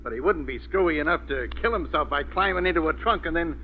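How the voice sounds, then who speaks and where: An actor playing a gruff, exasperated police inspector who talks in blunt slang, in an old radio mystery. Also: male voice, fairly high pitch, lively intonation, fast, natural voice. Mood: irritated, blustering. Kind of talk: 1940s radio mystery acting. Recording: muffled, noisy old radio recording.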